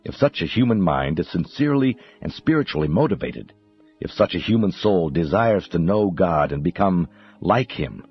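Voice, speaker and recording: Male narrator, low-pitched, husky, solemn religious audiobook reading, measured delivery, music behind. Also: expressive. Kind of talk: scripted spiritual audiobook narration. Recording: dull muffled audio under music. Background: background music.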